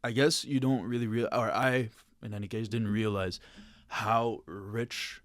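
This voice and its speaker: Young male host, low casual voice. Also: steady tone, measured pace, no room sound, clean studio sound. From Belgium. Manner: casual, hesitant, with many likes and restarts. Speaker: podcast host. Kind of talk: casual interview questions.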